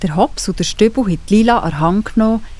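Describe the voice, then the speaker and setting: A woman's voice: mid-range voice, fast talker, a little mellow. A woman with a Swiss accent narrating a scripted children's story.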